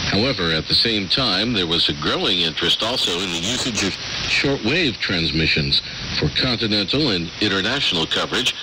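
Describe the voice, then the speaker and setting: A man hosting a radio programme with scripted announcements and narration, heard over a shortwave broadcast. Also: lowish voice. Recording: noisy shortwave radio reception.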